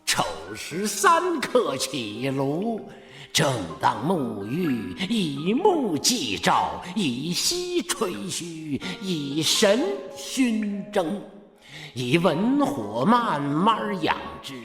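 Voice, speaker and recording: Old Taoist master, wildly swooping. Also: male voice, fairly high pitch, wildly swooping pitch, extremely slow, a bit bright, heavily acted. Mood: solemn chanting. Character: imperious old Taoist alchemist master obsessed with immortality. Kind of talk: Chinese audio drama acting. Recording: echoey cavernous hall, music behind.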